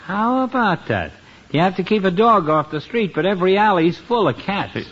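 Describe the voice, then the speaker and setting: An actor playing a gossipy, folksy small-town barber in a 1950s radio comedy, needling and nosy. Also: male voice, sits high, big pitch swings, normal speed, a bit bright, slight background noise. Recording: muffled old radio broadcast.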